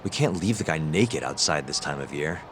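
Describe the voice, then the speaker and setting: Deep-voiced, wry detective hero. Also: male voice, animated, slight background noise. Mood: calm. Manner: dry, measured, wry. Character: cool, wry lawyer-detective hero, calm and principled. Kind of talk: video game cutscene acting.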